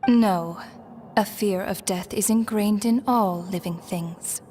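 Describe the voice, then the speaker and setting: A woman's voice: mid-range voice, takes it slowly, warm tone, slight background noise, lightly acted. A woman voicing a solemn, imperious ruler of death in an anime-style video game, grave and formal. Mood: solemn, stern.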